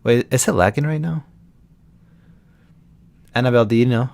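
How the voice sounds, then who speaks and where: A man's voice: mid-range voice, moderate pace, creaky, a little mellow. A young man from Texas, a voice actor chatting casually with viewers on a live stream and answering their questions. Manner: chats loosely, answering viewers' questions as they come.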